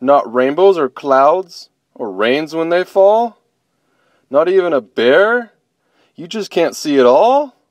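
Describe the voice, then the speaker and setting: A man's voice: medium pitch, expressive, unhurried, darkish tone, no room sound, highs cut off, pitched above the performer's own voice, natural voice. A man doing Bobo, a grumpy sleepy bear. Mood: amazed. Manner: grumbling, blustery.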